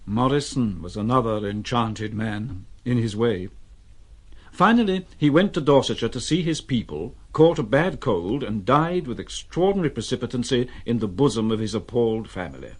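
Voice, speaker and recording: A man's voice: mid-range voice, expressive, normal speed. A man narrating a literary audio drama in a theatrical, wry storytelling manner.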